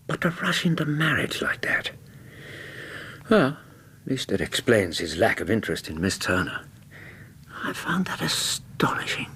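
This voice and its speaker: Courteous English physician for radio play: low, very expressive intonation, reassuring bedside manner, tactful questions. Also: male voice, unhurried, pauses often, slightly rough, whispered, full character voice. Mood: bemused. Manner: courteous, reassuring, gently probing. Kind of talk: radio drama acting.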